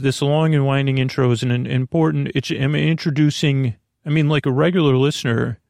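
A man hosting a bedtime podcast in a creaky voice, meandering and halting as he repeats himself and drifts off on tangents. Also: medium pitch, no echo, clean studio sound. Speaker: podcast host. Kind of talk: rambling bedtime sleep-podcast monologue.

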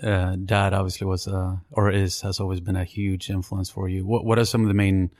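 A man interviewing a guest, asking long, halting questions. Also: low voice, little pitch movement, darkish tone, no room sound, clean studio sound.

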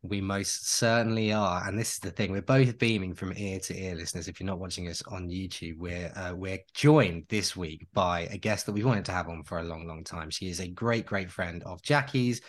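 A man's voice: low-pitched, moderate pace, hardly any pauses. A man co-hosting a podcast, chatting casually and enthusiastically, piling on praise and rambling through restarts. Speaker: podcast co-host.

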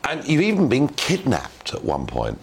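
A man hosting a broadcast talk show, giving opinions forcefully and interviewing a guest. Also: medium pitch, huge pitch swings, normal speed, a little hiss or hum.

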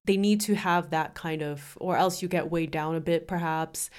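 A woman's voice: sits low, moderate pace, clean tone. A congested woman co-hosting a football podcast, chatting casually with dry teasing. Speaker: podcast co-host.

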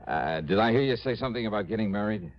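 An American actor playing an easygoing, flustered freelance investigator in an old radio drama. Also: male voice, lowish voice, lively intonation, fast talker, lightly acted. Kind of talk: 1950s-60s American radio drama acting. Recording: dull old radio broadcast.

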